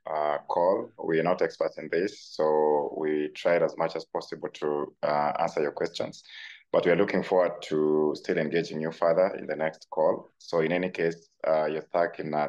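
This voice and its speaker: Very deep-voiced man on a dull call line. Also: a little mellow. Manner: hesitant, with many fillers. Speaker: panelist. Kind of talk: parting remarks on a webinar.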